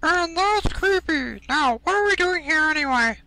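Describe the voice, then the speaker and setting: A woman's voice: very high voice, expressive, normal speed, noisy background. A woman voicing an irritable, foul-mouthed schoolgirl in an amateur anime parody dub. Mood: annoyed.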